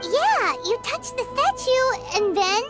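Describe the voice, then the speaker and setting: A woman's voice: very high-pitched, very animated, takes it slowly, full character voice. A woman voicing a tiny, blunt and cheeky fairy companion in a video game. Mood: curious. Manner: blunt, sassy. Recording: game audio with loud music behind.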